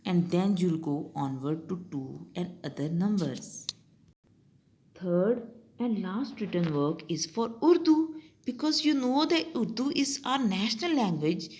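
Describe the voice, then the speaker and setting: A young woman teacher with a Pakistani English accent, patiently walking young pupils step by step through their summer tasks. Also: medium pitch. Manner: slow, patient, step-by-step instruction.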